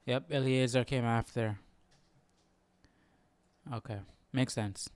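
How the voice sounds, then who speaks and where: A man hosting an informal study livestream, thinking aloud in a halting, musing way and often checking himself with questions. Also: medium pitch, steady tone, darkish tone, clear, resonant tone, no room sound. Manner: halting, musing, often tags remarks with "right?". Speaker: host of a Bible study livestream.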